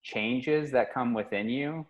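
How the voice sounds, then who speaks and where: A man thoughtfully telling about his experiences abroad over a video call, measured and reflective. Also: medium pitch, highs cut off. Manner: thoughtful, measured, some restarts. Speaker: workshop participant. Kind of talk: reflective personal story.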